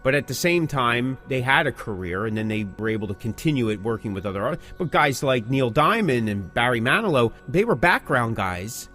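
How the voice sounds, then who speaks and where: Animated man, emphatic podcast co-host. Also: mid-range voice, fairly quick, few pauses, soft background music. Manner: emphatic, rhetorical questions. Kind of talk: casual music-industry discussion.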